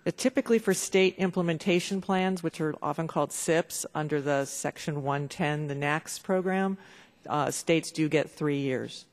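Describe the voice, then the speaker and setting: A woman's voice: low voice, normal speed. An expert witness answering a lawmaker in a committee room, a woman laying out the procedure plainly and matter-of-factly. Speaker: lawyer testifying as expert witness. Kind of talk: formal hearing testimony. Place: legislative hearing room.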